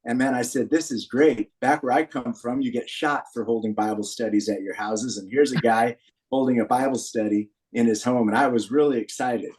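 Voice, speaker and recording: A man's voice: medium pitch, steady tone, normal speed, a little mellow, rough recording. American man in his sixties, telling stories. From Berkeley, California, USA. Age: 60s. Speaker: podcast guest, founder of a men's community group. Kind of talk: personal storytelling in a podcast interview.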